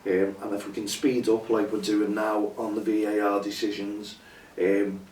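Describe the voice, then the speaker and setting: A hoarse man hosts a casual chat show, rambling and chatty with lots of fillers. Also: lowish voice, flat delivery, moderate pace, darkish tone, slight background noise. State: hoarse, losing his voice. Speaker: show host. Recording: roomy echo, distant from mic, faint ambience.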